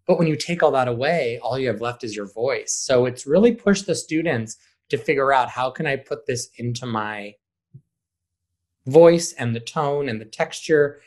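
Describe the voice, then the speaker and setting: A man answering interview questions conversationally as a high school theater director, stressing points by repeating words. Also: animated, normal speed.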